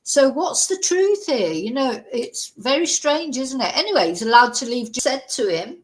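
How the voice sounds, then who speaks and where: A woman's voice: high-pitched, big pitch swings, normal speed, low-quality recording. A woman hosting a casual online commentary channel, reading news articles aloud and adding her own opinions with rhetorical tag questions. She sometimes spells out sensitive words letter by letter. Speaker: true-crime commentary host.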